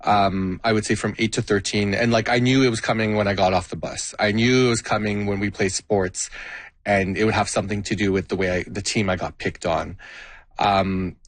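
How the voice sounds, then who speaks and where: A man's voice: on the low side, fairly even intonation, brisk, no echo. A man in his thirties from Canada, a guest answering in a studio interview, earnest and open, often searching for his words. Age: 30s. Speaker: guest performer. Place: radio studio. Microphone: studio mic.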